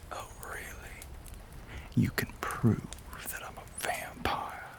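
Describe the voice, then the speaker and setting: A man playing a lonely, brooding Southern gentleman vampire in an intimate audio roleplay, with a Southern US accent, a breathy voice and genteel, old-fashioned phrasing. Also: slow, close to an everyday voice. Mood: dismissive, weary. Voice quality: breathy, whispery.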